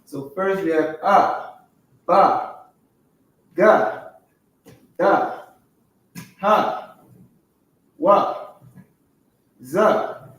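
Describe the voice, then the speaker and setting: A man with a fairly high voice teaching slowly, pausing often to check that his students follow along. He sounds off from the mic in a very echoey room. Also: recorded from a distance. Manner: slow, repetitive, checks in with "all right" and "you understand". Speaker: Hebrew class teacher. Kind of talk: classroom teaching over a chart.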